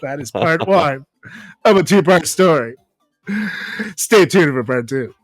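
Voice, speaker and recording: A man co-hosting a comedy podcast, giggly and halting as he banters and reads a comic story. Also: fairly high pitch, animated, dry recording. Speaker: podcast co-host.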